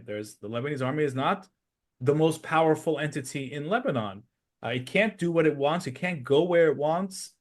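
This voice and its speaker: A man with a slightly high-pitched voice works through political analysis as a podcast host. He explains step by step and leans on key points for emphasis.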